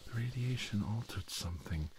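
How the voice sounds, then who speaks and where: A man voicing a middle-aged mad scientist villain, grandiose and obsessive, muttering haltingly to himself in an ASMR roleplay monologue. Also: on the low side, lively intonation, a little mellow, a little hiss or hum, natural voice, poor audio. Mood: troubled, halting.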